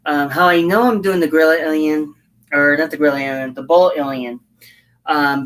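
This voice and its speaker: A hoarse woman chatting casually on a livestream as she builds a scale model, rambling and halting with frequent fillers. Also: low-pitched. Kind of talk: casual livestream chat while working.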